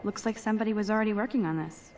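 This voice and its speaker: A woman's voice: mid-range voice, rapid-fire, a little mellow, slight background noise, lightly acted. Understated, introspective teenage girl played by a woman. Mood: impressed musing. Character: shy, self-deprecating teenage girl photography student. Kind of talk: inner monologue in a video game.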